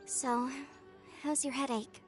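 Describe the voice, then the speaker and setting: A woman's voice: high voice, fairly even intonation, slow pace, music in the background. A woman playing a caring, easygoing young sister who is protective of her brother in a video game. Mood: caring, reassuring. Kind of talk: video game dialogue acting.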